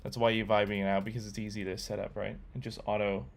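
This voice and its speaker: A man's voice: lowish voice, quick pace, vocal fry. Casual young man livestreaming his coding. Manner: relaxed, rambling, reads chat aloud with many fillers. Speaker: livestreamer learning to code.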